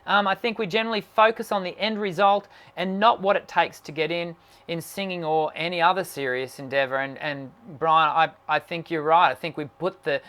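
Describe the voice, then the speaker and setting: A man's voice: steady tone, moderate pace, fairly bright tone. A man, a singing teacher hosting a casual live stream, musing aloud and reading out viewer questions. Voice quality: naturally high voice. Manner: musing, reads viewer comments aloud, many fillers and restarts.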